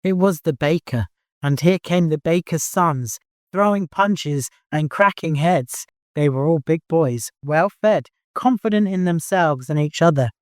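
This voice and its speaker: A man narrating fiction as an audiobook, in an expressive, dramatic storytelling manner. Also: fairly high pitch, measured pace, dry recording, clean studio sound.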